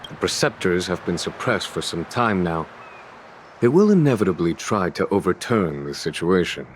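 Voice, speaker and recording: Deep-voiced, shrewd, calm general. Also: male voice, a little hiss or hum. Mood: measured, explanatory. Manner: measured, formal, quietly amused. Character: wise, calm, shrewd male general, recuperating. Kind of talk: English game voice acting, measured dialogue.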